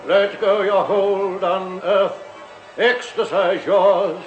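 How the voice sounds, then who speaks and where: A man's voice: sits high, fairly even intonation, lo-fi sound. An actor in a radio drama playing a pompous, unctuous male cult priest who speaks like a solemn preacher. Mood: rapturous. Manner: slow, incantatory, preacherly. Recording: echoey temple with music behind.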